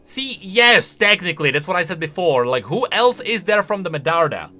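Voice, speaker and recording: A man giving casual, opinionated reaction commentary on video game lore in an animated, conversational way. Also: sits high, moderate pace. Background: faint music.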